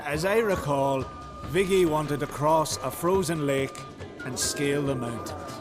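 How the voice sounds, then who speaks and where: An actor playing a hearty tavern keeper in a fantasy video game, telling tales in a folksy, animated way. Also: male voice, a little high, a little slow, music in the background, close to an everyday voice. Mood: amused, chatty. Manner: folksy, animated storytelling with archaic dialect words. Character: hearty male island tavern keeper, fond storyteller.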